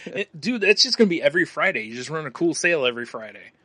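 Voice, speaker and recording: A man with a bright, forward voice talks casually as a podcast co-host. He is joking and loose with profanity, and the sound is close and dry. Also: fairly high pitch, big pitch swings, a little fast, husky, no room sound. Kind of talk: casual chat.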